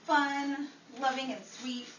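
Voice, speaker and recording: A woman's voice: sits high, steady tone, slow, a bit bright. A woman playing a grand announcer, narrating a scripted show in old-time radio style. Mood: grand, cheery. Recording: roomy, distant, noisy amateur recording.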